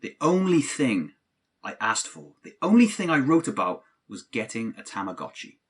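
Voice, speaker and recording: Young bloke, slightly husky, swings up and down a lot, sardonic christmas sceptic in an audio play. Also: male voice, mid-range voice, sing-song, normal speed. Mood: resigned, let down. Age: young adult. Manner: sardonic, incredulous. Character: cynical adult man, exasperated, let-down Christmas sceptic, best friend. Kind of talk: naturalistic audio drama dialogue.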